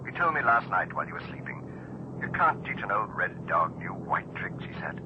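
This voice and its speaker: A man playing a solemn, earnest pilot in a radio drama, speaking with gentle reassurance. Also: deep voice, sing-song, brisk. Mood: gentle, confiding. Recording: muffled radio, loud aircraft engine noise.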